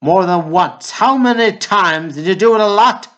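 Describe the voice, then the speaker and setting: A man's voice: sits high, moderate pace, fairly bright tone. Guy playing a hot headed older brother in a radio play, war vet, sweary and preachy, all bluster. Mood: shocked fury. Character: hot-tempered indignant older brother, a swaggering war veteran.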